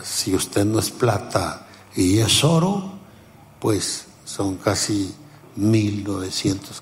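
Slow, deep-voiced elderly male preacher. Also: in a large hall, a little hiss or hum. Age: 70s. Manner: unhurried, emphatic, laughs at himself. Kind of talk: sermon.

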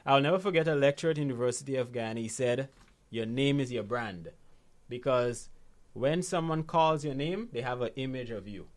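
A man's voice: mid-range voice, fairly quick. A man from Guyana, a guest coaching on money habits, talking conversationally and often checking in with "right?". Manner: animated storytelling, frequent "right?" tags. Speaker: guest, financial literacy coach. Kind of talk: conversational interview.

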